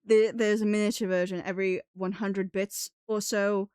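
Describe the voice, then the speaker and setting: A woman's voice: medium pitch, little pitch movement, normal speed, darkish tone, clean tone, no echo, clean studio sound. A woman from New England chatting casually on a livestream in a put-on British accent, rambling in a chatty, giggly way. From New England, United States. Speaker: live streamer. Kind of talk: casual livestream chat with viewers.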